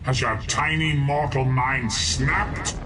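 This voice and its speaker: A man's voice: medium pitch, noisy background, lightly acted. A man voicing an arrogant, menacing demon prince in a video game, pompous and mocking. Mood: menacing scorn. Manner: pompous, slow, mocking and threatening. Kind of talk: video game villain acting. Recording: loud music over the voice.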